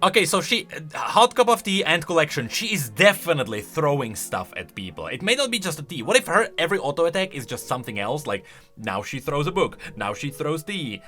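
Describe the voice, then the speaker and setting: A man with a bright, forceful voice gives casual commentary as a gaming channel host. He is highly animated and excitable, thinking aloud as he goes, with light music underneath. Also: brisk. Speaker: host of a game lore channel.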